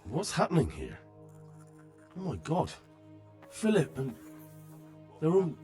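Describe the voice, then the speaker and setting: Earnest young blacksmith, lively intonation. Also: male voice, fairly high pitch, slow, soft background music, heavily acted. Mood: shocked. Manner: earnest, polite, tentative. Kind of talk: dramatic video game acting.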